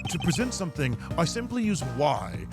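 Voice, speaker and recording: A composed video game prosecutor, played by a man, haughty and exact. His slightly high male voice moves expressively through formal, deliberate, analytical lines, over clearly audible music. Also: sing-song. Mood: confident, instructive.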